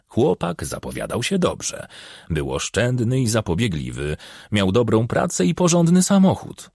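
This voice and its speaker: A man's voice: mid-range voice, gravelly, darkish tone, slightly rough, no room sound, studio quality. A man reading a crime novel as an audiobook narrator, telling the story expressively.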